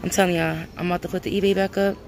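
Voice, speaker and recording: Brooklyn woman vlogger, low-pitched, casual and repetitive, phone-recorded over loud store noise. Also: little pitch movement, rapid-fire, gravelly. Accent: Brooklyn New York. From Brooklyn, New York. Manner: chatty, repeats herself, addresses viewers as guys. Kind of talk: casual walkthrough shopping vlog. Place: large supermarket aisles, indoors.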